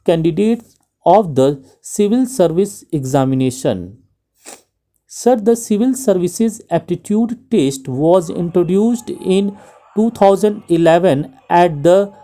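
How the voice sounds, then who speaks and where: Slow, deliberate Indian-accented woman dictating. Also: lowish voice, warm tone. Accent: Indian English. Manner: deliberate phrase-by-phrase delivery with pauses. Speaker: shorthand dictation reader. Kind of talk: slow measured dictation of a speech.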